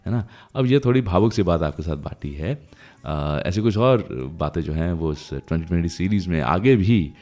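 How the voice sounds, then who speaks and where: A man's voice: sits low, expressive, normal speed, hardly any pauses, a little mellow. Conversational Indian man hosting radio. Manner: reflective, conversational, addresses listeners directly. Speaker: radio show host. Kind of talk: conversational radio presenting, storytelling about film music.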